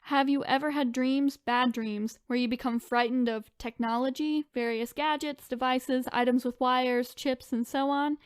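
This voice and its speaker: A woman's voice: high-pitched, steady tone, normal speed, few pauses, smooth, no room sound, clean studio sound. A woman co-hosting a podcast, reading online stories aloud and chatting in an informal, conversational way. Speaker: podcast co-host.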